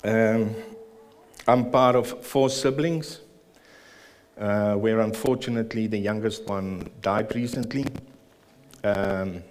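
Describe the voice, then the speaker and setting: South African man, lowish voice, nervous earnest church testimony with fillers and pauses. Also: steady tone. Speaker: church member giving testimony. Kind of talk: personal testimony and prayer in church. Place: church service.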